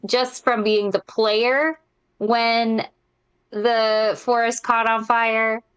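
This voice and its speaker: Female panelist, clear resonant voice, slightly high, emphatic and sweary in casual talk. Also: flat delivery, drawn-out words, a bit bright. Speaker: podcast panelist and player. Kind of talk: casual chat.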